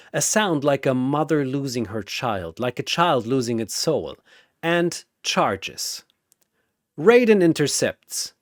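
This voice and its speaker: Guy narrating an epic battle, super dramatic n punchy, slow pace, pitch all over, dry sound. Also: dry recording. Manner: theatrical, punchy delivery.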